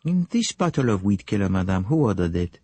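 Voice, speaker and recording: Narrator playing a polite sleuth, formal and probing, husky, lively delivery. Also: male voice, lowish voice, brisk, dry recording. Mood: businesslike. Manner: formal, businesslike, probing questions. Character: courteous, precise foreign male detective, middle-aged.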